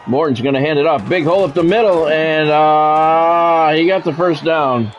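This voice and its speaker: Higher-pitched man calling football play-by-play. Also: moderate pace, lo-fi sound, slight background noise. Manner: announcer-style calls in short clipped phrases. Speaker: sports game streamer doing play-by-play. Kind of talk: football play-by-play commentary with casual chat to viewers.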